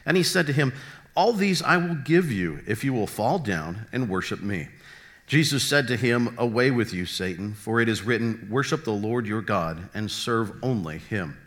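A man reading scripture aloud with an expressive delivery. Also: lowish voice, moderate pace. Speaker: scripture reader.

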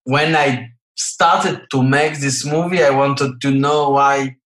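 A middle-aged man, speaking halting as an interview guest, often filling pauses with "you know" and "uh". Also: medium pitch, steady tone, a bit bright, reverberant. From Morocco. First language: French. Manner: halting non-native English, frequent "you know" and "uh". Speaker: guest film director. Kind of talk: interview answers.

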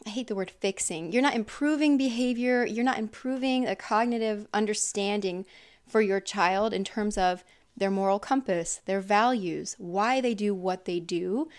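A woman's voice: mid-range voice, a little mellow. A woman in her thirties hosting a casual talk with her father, earnest and chatty, often laughing. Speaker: host.

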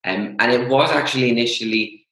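A middle-aged man raised in Greece, speaking thoughtfully as an interview guest, with frequent pauses and ums. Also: medium pitch, bright, forceful tone. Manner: thoughtful, hesitant with many ums. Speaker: guest, fashion branding lecturer. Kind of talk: conversational interview. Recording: echoey room.

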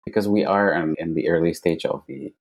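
A man from Indonesia answering interview questions in an unhurried way, with frequent fillers and restarts. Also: low voice, vocal fry. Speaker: podcast guest, startup founder.

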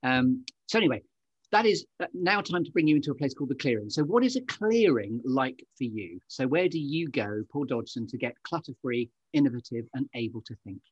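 A man's voice: medium pitch, animated, brisk, husky, dry recording, lo-fi sound. A man hosting an interview podcast, conversational and effusive in his enthusiasm, heard through a call microphone. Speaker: podcast host.

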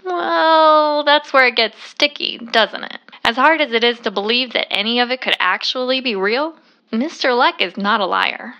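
A woman acts out a quirky waitress in an audio drama. She is a chatty, earnest fan who gushes freely, in a bright voice pitched a little high.

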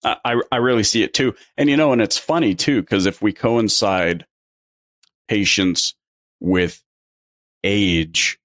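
Low-voiced man hosting an interview, dry sound. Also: measured pace, dry recording. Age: 30s. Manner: animated, stumbles and repeats words. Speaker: interview host. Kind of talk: casual interview talk.

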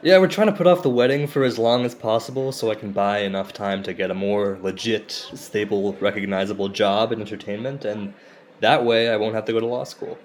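An actor playing an insecure, self-deprecating comedian in a naturalistic audio drama, halting, confessional and profane. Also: male voice, on the low side, close to an everyday voice. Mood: sheepish, confessing. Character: insecure, self-deprecating Indian-American stand-up comedian, torn by shame.

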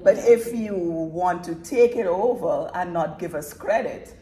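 A woman's voice: fairly low pitch, unhurried, warm tone, far from the mic. A woman from Trinidad hosting a live-streamed interview at a music event, affirming her guests with many yeses and laughing often.

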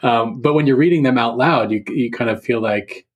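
A man's voice: mid-range voice, normal speed, muffled recording. A middle-aged man talking casually and thoughtfully as a podcast guest, feeling his way through his sentences. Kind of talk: casual reflective podcast conversation.

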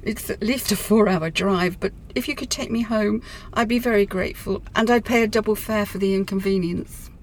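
A woman's voice: mid-range voice, moderate pace, a little hiss or hum, close to an everyday voice. An actress playing a distraught middle-aged office woman in a radio play, with a wordy, wounded, dramatic delivery. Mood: grateful, flustered.

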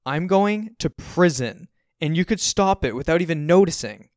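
A man plays a spoiled, dramatic grown son in an audiobook. The voice is pushed up high and emphatic, indignant and pleading, with a clean, smooth tone. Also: expressive, slow, no room sound. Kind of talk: audiobook character dialogue.

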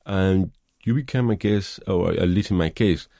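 A man's voice: low-pitched, normal speed, creaky, warm tone, no room sound, studio quality. A middle-aged man from Spain with a Spanish accent, answering interview questions thoughtfully, with many hesitations and fillers. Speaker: film director.

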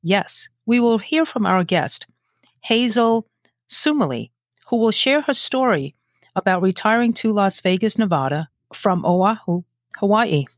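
A woman's voice: mid-range voice, lively intonation, measured pace, no echo, clean studio sound. A middle-aged woman, hosting a podcast in a scripted, playful and teasing way. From Brooklyn, New York; born in Brazil. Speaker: podcast host. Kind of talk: scripted podcast introduction and interview.

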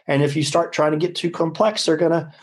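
A man's voice: on the high side, fairly even intonation, brisk. A man, a nutrition scientist explaining in a rambling, self-correcting conversational way. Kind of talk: conversational expert discussion.

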